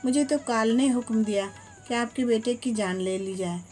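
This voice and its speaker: A woman's voice: a little high, moderate pace, a little mellow, close to an everyday voice. A woman voicing a male god of death who solemnly denies blame in a storybook tale. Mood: insistent. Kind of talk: storybook narration.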